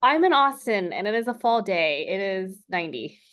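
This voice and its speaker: A woman's voice: medium pitch, big pitch swings, moderate pace, fairly bright tone. An American woman from South Texas talking informally as an interview guest, often saying "like". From South Texas, United States. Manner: informal, frequent "like". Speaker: interview guest, content creator. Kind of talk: casual conversational interview.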